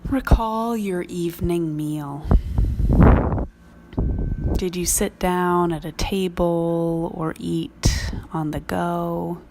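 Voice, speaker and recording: A woman leading a guided prayer meditation in measured, reflective phrases with long pauses. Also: lowish voice, steady tone, a little mellow, a little hiss or hum.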